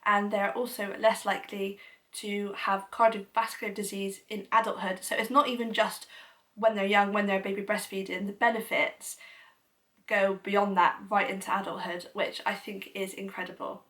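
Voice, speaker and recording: A woman's voice: mid-range voice, little pitch movement, normal speed, a bit bright, far from the mic. Stuffy-nosed young woman vlogging. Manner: chatty, enthusiastic, many fillers like "you know". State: blocked-up nose from a cold. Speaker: parenting vlogger. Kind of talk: casual explanatory talk to camera.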